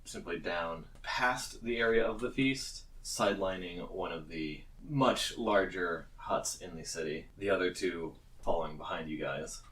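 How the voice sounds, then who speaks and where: A man with a deep voice narrates a tabletop game as game master. His delivery is slow, measured and descriptive, captured at some distance from the microphone. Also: fairly bright tone, echoey room.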